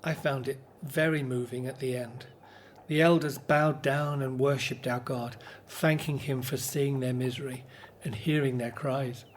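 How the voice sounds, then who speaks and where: A man's voice: medium pitch, fairly even intonation, a little slow, a little hiss or hum, natural voice. An actor playing an earnest, devout Hebrew spokesman in a radio drama. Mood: earnest recounting.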